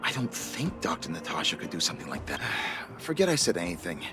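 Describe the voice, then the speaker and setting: A lowish-voiced, gloomy man, weary and resigned, convinced he is doomed. He is a video game character, with music clearly underneath. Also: very animated, brisk. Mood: hushed, reluctant. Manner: weary, sighing, defeatist. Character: gloomy, resigned male hypochondriac patient.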